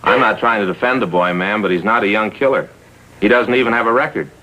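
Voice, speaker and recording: A deep, crisp-edged police sergeant. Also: male voice, fast, pushed and bright, poor audio. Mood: firm, earnest. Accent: American. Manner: earnest, restrained. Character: earnest, firm but sympathetic police sergeant. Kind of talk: 1950s TV police drama acting.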